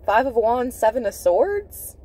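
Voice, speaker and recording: Slow, mellow woman reading tarot. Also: fairly high pitch, sing-song, husky. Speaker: tarot reader. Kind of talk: intuitive tarot reading to camera. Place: inside a parked car.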